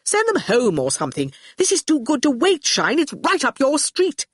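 A woman's voice: sits high, wildly swooping pitch, moderate pace, no echo, clean studio sound, voice pushed up. A woman voicing a theatrical, imperious younger brother in a storybook, breathless and impatient.

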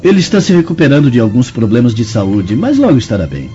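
A man's voice: mid-range voice, very animated, quick pace, warm tone, faint music behind, close to an everyday voice. An actor playing a polite, reserved young Roman nobleman in a radio drama, formal and theatrical. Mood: politely firm. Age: young adult. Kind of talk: dramatic radio play acting. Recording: muffled radio drama recording.

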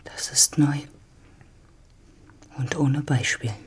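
Breathy, stern farm mistress. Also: female voice, deep voice, fairly even intonation, takes it slowly, heavily acted. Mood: scornful, hushed. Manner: stern, commanding, scornful. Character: hard, domineering rich farm woman, scheming and defensive. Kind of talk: literary German radio drama acting.

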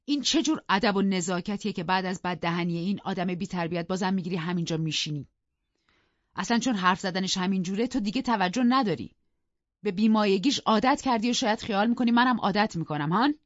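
A woman voicing a haughty, refined gentleman husband who speaks with formal, stern indignation. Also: on the low side, animated, no room sound, lo-fi sound. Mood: angry, reproachful.

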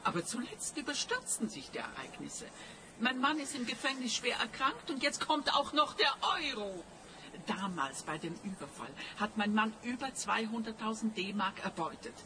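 An actress playing a cool, calculating older client in a radio play, composed and insistent. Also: female voice, a little high, lively intonation, moderate pace, noisy background, close to an everyday voice. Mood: explaining, evasive. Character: cool, calculating older female client, a bank robber's wife.

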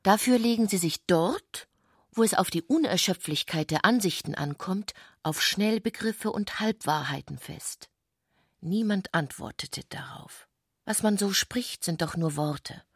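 A woman's voice: mid-range voice, expressive, a little slow, husky, no echo, clean studio sound. A woman reading literary prose as a scripted narrator, precise and composed.

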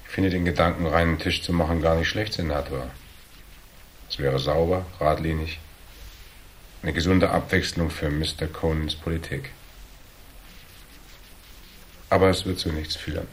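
A hardboiled investigator with a low, deep voice in a German radio crime drama. He is cool and shrewd, setting out each point with dry, measured, needling precision. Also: steady tone, a little hiss or hum. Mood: cool, dissenting. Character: cool, shrewd hardboiled male investigator, calmly laying out deductions.

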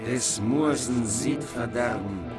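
A man's voice: medium pitch, slow, lightly acted. A man reciting medieval verse as a bard in a radio play. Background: prominent music.

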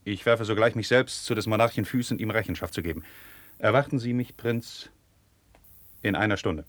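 A man's voice: on the low side, normal speed, no echo, lightly acted. An actor playing a resolute, commanding nobleman in a declamatory 1950s German classical radio drama style. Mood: stern. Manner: declamatory, imperious, forceful. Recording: dry 1950s radio studio recording.

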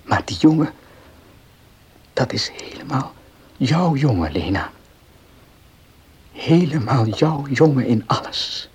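An actor playing a devoted, grieving husband in a period radio drama, speaking slowly and earnestly in a hushed, breathy voice. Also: male voice, mid-range voice, lively intonation, heavily acted. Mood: earnest, pleading. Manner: slow, hushed, earnest, emotional.

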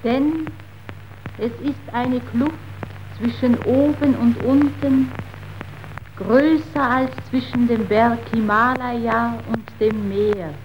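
A woman's voice: fairly high pitch, steady tone, takes it slowly. An actress playing a dying young woman preacher, solemnly declaiming in a grave, accusing manner in old radio drama style. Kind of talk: 1930s radio stage declamation. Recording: muffled, noisy 1932 radio recording.

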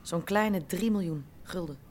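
Actress as a businesslike, careful go-between. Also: female voice, lowish voice, unhurried, darkish tone, a little hiss or hum, close to an everyday voice. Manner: careful, insistent. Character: businesslike go-between for shady investors. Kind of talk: radio drama acting.

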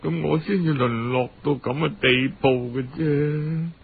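A man's voice: a little high, steady tone, unhurried, creaky, breathy edge, narrow, tinny audio, voice pushed down, full character voice. A man voicing a frail, humble young orphan woman in Cantonese storytelling, weak, apologetic and hesitant, often sighing. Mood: sad, weak.

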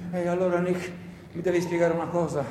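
A man's voice: sits high, level tone, fairly quick, dark tone, close to an everyday voice. A man playing an anxious, naive young partisan in a theatrical radio play, hesitant and stammering as he asks questions. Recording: roomy echo with faint noise.

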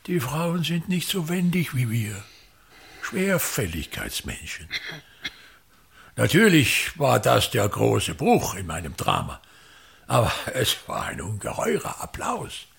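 An actor playing a pompous aging theatre director in a radio drama, speaking in a grandiloquent, complaining monologue. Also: male voice, medium pitch, wildly swooping pitch, slow pace, creaky, a bit bright, husky, heavily acted.

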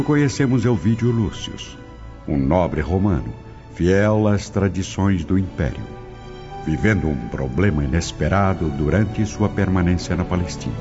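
A male narrator reads a scripted radio drama in a deep, dark voice. His intonation swings expressively, with music behind him. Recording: dull lo-fi audio.

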